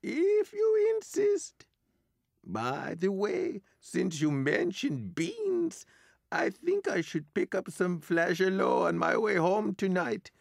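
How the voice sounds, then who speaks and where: An actor playing a mischievous, food-loving French gentleman who presents a radio ghost-story show, speaking with affected, theatrical formality. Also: high-pitched, sing-song, slow pace, creaky, dry recording, clean studio sound, exaggerated voice. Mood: genial. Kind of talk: radio drama acting.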